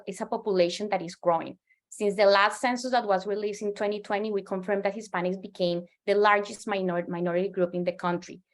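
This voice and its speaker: A woman in her forties from Colombia with a Colombian Spanish accent, a native Spanish speaker giving an earnest presentation as a market researcher, often restarting and repeating words. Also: mid-range voice. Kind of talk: presentation to a professional audience.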